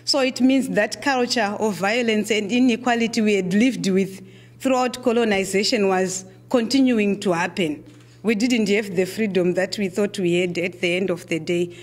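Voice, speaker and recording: A middle-aged woman with a Zimbabwean accent gives a keynote address at a conference. She talks in a measured, deliberate way, often pausing on "uh" and repeating words as she restarts. Her voice sits at an ordinary pitch for a woman. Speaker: keynote speaker.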